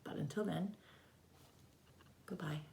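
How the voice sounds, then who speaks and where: A woman reading a children's storybook aloud in an expressive way, talking directly to young listeners. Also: on the low side, takes it slowly, gravelly, slight background noise.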